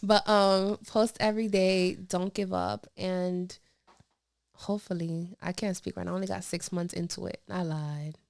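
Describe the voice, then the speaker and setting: A young woman with a soft voice, a lash technician chatting casually as a podcast guest, laid-back and laughing often. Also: on the low side, little pitch movement, a little slow, vocal fry, no echo.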